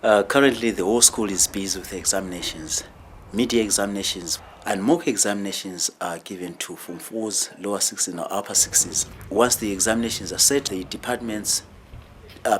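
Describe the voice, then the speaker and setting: A man's voice: fairly low pitch, normal speed, a bit bright, slight background noise. A man giving an explanatory interview answer as a school staff member.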